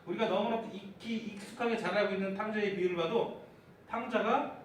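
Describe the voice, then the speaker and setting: A man preaching in a church sanctuary, his voice sitting unusually high. He keeps putting questions to the congregation and climbs into fervent, shouted prayers. The sound is very echoey and comes from some way off the mic. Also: fairly even intonation, fairly quick, slight background noise. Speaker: pastor. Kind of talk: sermon. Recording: echoey church hall heard from far off.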